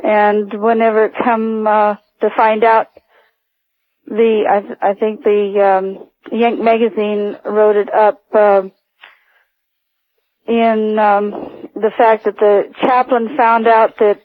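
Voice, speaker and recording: An older woman calling a radio show by phone, recounting personal stories in a hesitant, halting way. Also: medium pitch, little pitch movement, no room sound. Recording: narrow tinny phone line.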